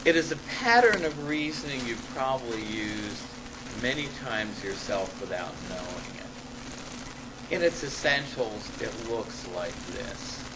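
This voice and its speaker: A man reading an academic paper aloud to a class as a lecturer, halting at times and stressing key phrases. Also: medium pitch, sing-song. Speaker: college ethics lecturer and paper author. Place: classroom in front of students. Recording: noisy amateur classroom recording.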